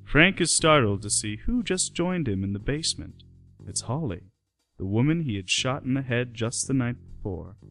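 A man's voice: medium pitch, lively intonation, moderate pace, vocal fry, a bit bright, soft background music. A man giving scripted narration for a radio play.

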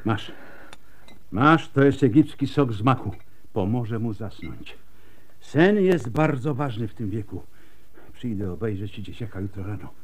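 Husky, weary old-time plague doctor. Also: male voice, on the low side, lively intonation, unhurried. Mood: matter-of-fact, reassuring. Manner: gruff, emphatic, bitterly resigned. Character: world-weary, blunt, scarred male plague doctor. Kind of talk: radio drama acting.

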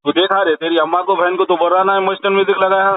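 A man's voice: sits high, level tone, fast. A man with a Hyderabadi accent in a heated phone argument, aggressive, scolding and insistent. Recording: tinny phone call recording.

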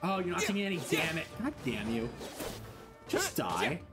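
A man's voice: a little high, huge pitch swings, moderate pace. Profane young man streaming a game. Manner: profane, joking, mumbles to himself. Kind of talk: casual gaming commentary. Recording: game music underneath.

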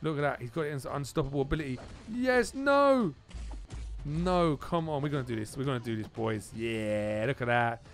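A man giving excited video game commentary, repeating phrases and exclaiming in informal slang. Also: mid-range voice, moderate pace. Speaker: gaming channel host. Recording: music behind the voice.

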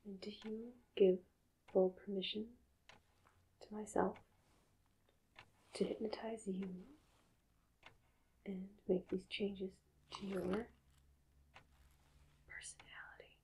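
A woman speaking in a hushed, halting, calm half-whisper, soft-spoken in an ASMR roleplay as a doctor, close to the mic. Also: mid-range voice, a little hiss or hum, low-quality recording. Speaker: ASMR performer playing a hypnotist doctor.

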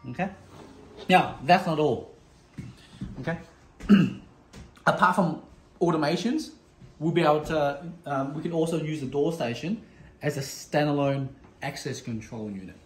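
Male product demonstrator, slightly high voice, halting and repetitive, echoey noisy room. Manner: halting, repetitive, addressing viewers as guys. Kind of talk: unscripted product demo. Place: indoor room, desk demo. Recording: echoey room with background noise.

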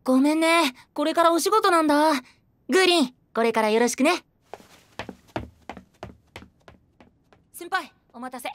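A man's voice: very high voice, brisk, a bit bright. An actor playing a cheeky, cute teenage boy idol in an audio drama, boyish and playful. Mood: bright, friendly. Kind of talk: Japanese audio drama acting.

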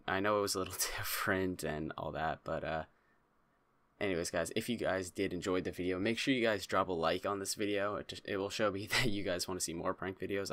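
A man's voice: low voice, fairly bright tone, dry recording. A young man, a video host talking casually and a bit ramblingly to camera with small chuckles in his speech. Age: young adult. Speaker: YouTube host. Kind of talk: casual vlog talk to camera. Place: small home room indoors.

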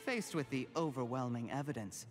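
A man voicing a bitter young scheming traitor in a video game, speaking in a measured, polite and menacing way. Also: medium pitch, lightly acted. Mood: cold, gloating. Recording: game audio with music underneath.

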